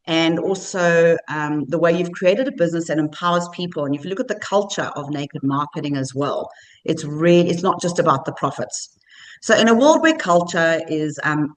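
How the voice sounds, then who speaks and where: A woman's voice: low-pitched, rough recording. A woman hosting a business interview podcast, introducing her guest with upbeat, affirming remarks. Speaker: podcast host.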